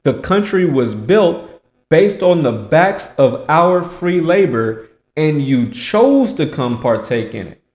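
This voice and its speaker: A man with a slightly high voice speaks in a very roomy, echoing space. He delivers commentary with emphasis and a rhetorical bent, often restating phrases to drive them in. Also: sing-song, slow pace, a little mellow, highs cut off. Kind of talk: political commentary mixed with reading aloud. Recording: echoey, roomy sound.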